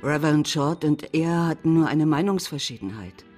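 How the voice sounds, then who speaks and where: A woman's voice: low-pitched, animated, normal speed, a little mellow, soft background music. An actress playing an older widowed woman who gives frank, matter-of-fact answers in a radio drama. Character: older widowed woman, loyal and plain-spoken. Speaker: witness. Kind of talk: German radio play acting.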